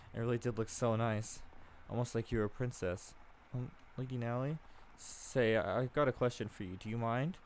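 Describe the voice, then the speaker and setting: A man voicing a sly household servant woman who teases and insinuates in a soft voice. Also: mid-range voice, moderate pace, slight background noise, lightly acted. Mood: teasing. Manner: teasing, insinuating, giggling.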